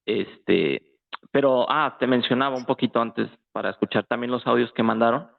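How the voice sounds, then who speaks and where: A man with a Mexican accent talks informally as a guest on a thin, phone-like line. His medium-pitched voice has a gravelly fry, and he often gropes for the next word. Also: moderate pace. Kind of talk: casual political discussion. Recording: tinny, phone-like call audio.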